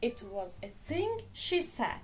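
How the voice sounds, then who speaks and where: A woman reading a children's story aloud in a deliberate, expressive storytelling manner. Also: a little high, soft background music. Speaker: children's storybook reader, English teacher. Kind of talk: slow expressive read-aloud for young children.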